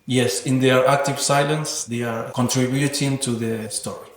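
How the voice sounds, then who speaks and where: Male interview guest, reflective and philosophical artist type, average pitch, noticeable room echo. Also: steady tone, moderate pace, a little hiss or hum. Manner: thoughtful, earnest, philosophical. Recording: roomy echoing sound.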